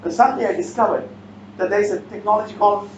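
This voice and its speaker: A man's voice: high voice, quick pace. A man with a Malaysian or Singaporean accent giving a motivational seminar talk, bluntly challenging his listeners with questions. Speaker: seminar speaker. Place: indoor seminar room. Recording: echoey room, distant mic, muffled, faint noise.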